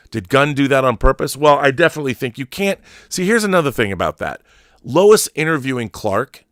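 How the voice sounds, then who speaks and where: A man in his fifties with a mid-pitched voice hosts a casual livestream. He talks in an opinionated, emphatic manner, doubling back on phrases to drive them home. The sound is dry, with little room around the voice. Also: fairly bright tone, no echo. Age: 50s. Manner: opinionated, emphatic, profane, repeats himself for emphasis. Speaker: livestream host. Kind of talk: casual livestream commentary, reading viewer messages.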